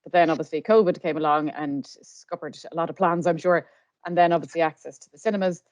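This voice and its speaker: A woman's voice: fairly low pitch, fairly even intonation, fast, no room sound, muffled recording. A woman with an Irish accent interviewing a guest in a conversational podcast style.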